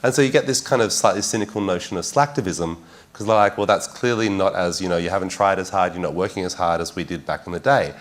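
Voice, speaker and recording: Panelist, male, very low voice, quick delivery, restarts mid-thought. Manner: thoughtful, repeats words while building a point. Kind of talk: panel discussion.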